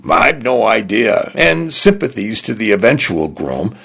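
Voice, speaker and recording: A man's voice: on the low side, sing-song, measured pace, vocal fry, fairly bright tone, breathy edge, voice pushed up, heavily acted. A man voicing a wry, knowing rogue with a fond, world-weary manner.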